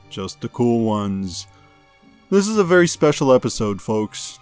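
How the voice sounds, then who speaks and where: A man's voice: mid-range voice, darkish tone, close to an everyday voice. Man playing an upbeat mock-newscast radio host. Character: upbeat male amusement-industry news show host. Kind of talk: scripted comedic news broadcast narration.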